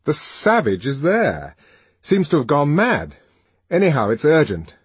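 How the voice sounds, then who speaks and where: Man doing a brisk, urgent young man. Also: fairly high pitch, huge pitch swings, husky, dry recording, voice pushed up, heavily acted.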